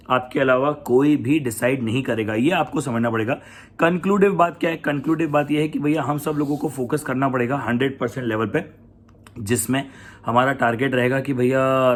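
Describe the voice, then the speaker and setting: A young adult Indian man with an Indian accent, a coaching teacher giving students a casual, emphatic pep talk, mixing English into Hindi and repeating his key points. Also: medium pitch. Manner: mixes English into Hindi, addresses students as beta and bhaiya.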